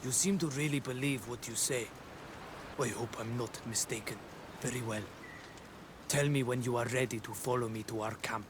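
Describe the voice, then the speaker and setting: A man's voice: medium pitch, steady tone, moderate pace, close to an everyday voice. Man playing a guarded rebel scout, noisy backdrop. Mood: cautious trust. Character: wary male rebel scout of a native clan.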